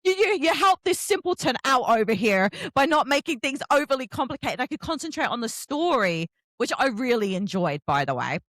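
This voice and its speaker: A woman's voice: high-pitched, sing-song, brisk, few pauses, fairly bright tone, no room sound. A woman from Kent, chatting casually and effusively as she hosts an interview, often joking. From Kent, England. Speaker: book podcast host interviewing an author.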